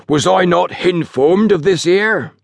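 A man's voice: mid-range voice, very animated, moderate pace, husky, no room sound, pitched above the performer's own voice. A man voicing a large, pompous, easily bewildered rustic station master in an audiobook story, with lofty dignity and uneducated grammar. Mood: outraged, lofty. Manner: lofty, sarcastic dignity with dropped grammar and misplaced aitches.

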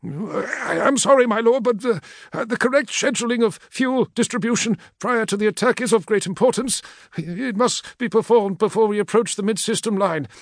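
A man's voice: high voice, moderate pace, no room sound, studio quality, voice pushed up. A man voicing a terrified fat male logistician who stammers and pleads before his lord. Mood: terrified pleading.

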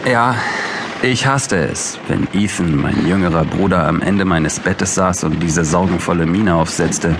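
Casting: bitter, sardonic drama lead, lowish voice, noisy backdrop. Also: male voice, unhurried. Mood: brooding, reflective. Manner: brooding, sardonic. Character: bitter, brooding man in wheelchair, older brother. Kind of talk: German audio drama acting and first-person narration.

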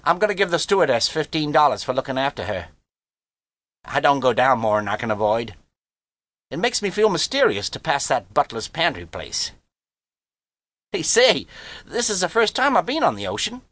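A man's voice: on the high side, big pitch swings, moderate pace, long pauses, a bit bright, dry recording, pitched above the performer's own voice. A man voicing a brash, boastful American teenage boy with slangy, show-off talk in an audiobook story. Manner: slangy, cocky, showing off.

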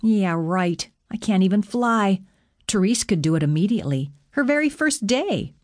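A woman's voice: medium pitch, animated, moderate pace, dry recording, clean studio sound, voice pushed up, natural voice. A woman voicing a frustrated, emotional young goddess in an audiobook, with an emphatic, accusing way of talking. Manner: exasperated, emphatic.

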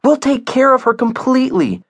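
A man voicing an excitable young girl with an eager, emphatic, childlike manner, as in a storybook. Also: very high voice, slow pace, no echo, pitched above the performer's own voice, exaggerated voice. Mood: pleading.

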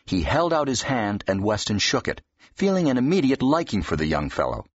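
A man with a deep, husky voice reading fiction expressively as an audiobook narrator. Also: sing-song, brisk, no echo. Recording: dull, slightly muffled audio.

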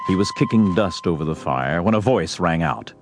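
A man's voice: deep voice, animated, moderate pace. A man narrating a Western story as a scripted audio drama. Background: music.

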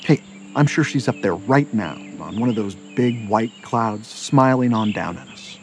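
A man's voice: fairly low pitch, unhurried, slight background noise, natural voice. A man playing a chipper, boastful middle-aged suburban dad in an audio drama, with breezy, folksy cheer. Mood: consoling.

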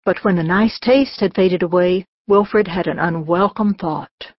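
Low-voiced woman reading a children's story. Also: unhurried, no room sound, muffled recording. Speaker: audiobook narrator. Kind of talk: scripted children's story narration.

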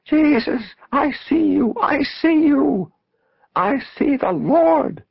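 Audiobook role: fervent middle-aged churchwoman, performed by a man, raised pitch, ecstatic, prayerful delivery, slightly dull sound. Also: big pitch swings, a little slow, no echo, full character voice. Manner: ecstatic, breathy, prayerful proclaiming. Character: robust devout woman in her forties, rapturous and prophetic. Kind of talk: audiobook character voice.

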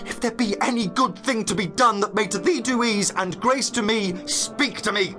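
A man's voice: high-pitched, normal speed, over music, natural voice. An actor playing a learned, earnest young nobleman on night watch, declaiming verse in a dramatised Shakespearean audiobook. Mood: alarmed, commanding. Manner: eloquent, declamatory verse delivery.

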